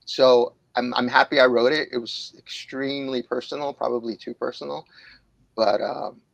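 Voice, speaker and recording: A man's voice: medium pitch, fairly even intonation, moderate pace, creaky, no echo, poor audio. A man in his late forties, a jiu-jitsu instructor and author from New York, speaking candidly and reflectively as a podcast guest, with frequent fillers. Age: 40s. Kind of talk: podcast interview.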